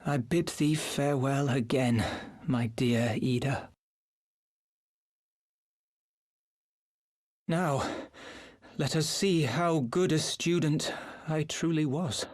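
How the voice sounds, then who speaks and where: Scholarly fantasy mage for a game: formal, archaic diction, proud, wry, noble. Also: male voice, fairly high pitch, takes it slowly, a little mellow. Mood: tender resolve. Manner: formal, archaic, eloquent phrasing. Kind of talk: dramatic video game cutscene acting.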